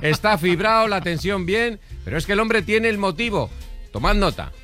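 A man's voice: high voice, expressive, moderate pace, pushed and bright. A man with an accent from Spain hosting a morning radio show, bantering playfully with exclamations and constant tag questions. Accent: Spanish (Spain). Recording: music bed under the voice. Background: background music.

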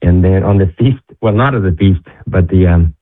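Deep-voiced young guy from ecuador telling a story, lots of restarts, tinny phone-like sound. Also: normal speed. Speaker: guest. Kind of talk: casual interview talk.

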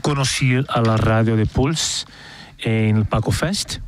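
Halting Brazilian-accented man. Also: fairly low pitch, slight background noise. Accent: Brazilian Portuguese. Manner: halting, repeats words while searching for them. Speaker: radio guest. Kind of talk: casual interview talk.

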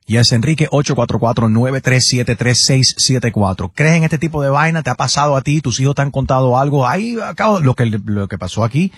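A man's voice: medium pitch, expressive, a little fast, hardly any pauses, slightly rough, dry recording, clean studio sound. A man hosting a radio call-in show, bantering casually and reading out phone numbers. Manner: reads phone numbers, bantering, switches to English.

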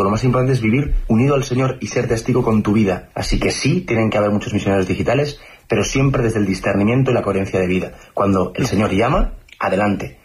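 Fast-talking, low-voiced man. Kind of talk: earnest religious talk.

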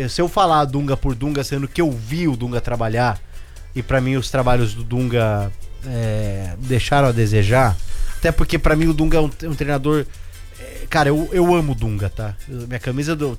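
A man's voice: medium pitch, a little fast, faint music behind. A man with a Brazilian Portuguese accent hosting a casual football debate, emphatic and repeating himself for stress. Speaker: sports talk show host.

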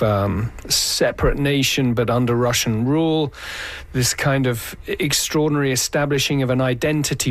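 Reflective man, a conductor in interview. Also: mid-range voice. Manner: reflective, thinking aloud with fillers. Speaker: orchestra conductor. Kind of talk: interview answer.

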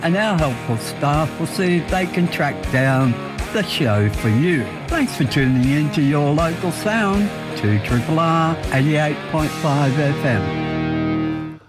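An Australian woman reads a scripted station promo at a slow, measured pace. Plucked guitar music plays clearly behind her. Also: low voice. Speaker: station announcer.

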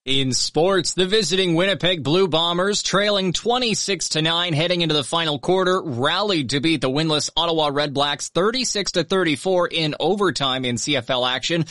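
A man reading a radio news bulletin in a brisk, even newsreading delivery. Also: a little high, no room sound, studio quality.

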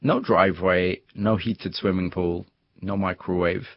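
A man presenting a radio interview, narrating in a measured storytelling way. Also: sits low, steady tone, no echo. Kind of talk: scripted documentary narration. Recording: dull muffled broadcast audio.

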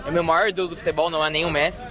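A man's voice: fairly high pitch, flat delivery, very fast. A man giving a street interview and explaining himself eagerly. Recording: street crowd and music.

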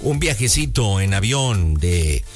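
A Mexican man hosts a radio call-in show in a bouncy, sing-song voice that swoops up and down. He teases and jokes with his caller. Soft music plays faintly underneath. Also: lowish voice, very animated, slow pace. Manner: playful, teasing, sing-song. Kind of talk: radio phone-in chat. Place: radio studio.

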